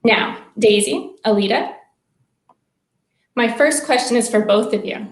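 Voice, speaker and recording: A woman moderating a panel over a video call, leading the talk in a measured, clear way. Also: on the high side. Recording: echoey room sound.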